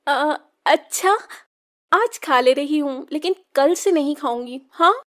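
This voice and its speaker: Cartoon daughter, played by a woman: spirited, high-pitched, whiny and headstrong, unhurried delivery. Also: expressive. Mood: pouty, stubborn. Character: spirited, pouty young daughter, pleading and stubborn. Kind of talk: children's cartoon story acting.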